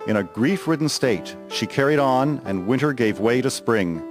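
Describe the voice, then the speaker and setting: A man giving a solemn, measured scripted narration of a historical drama. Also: medium pitch, animated, music in the background.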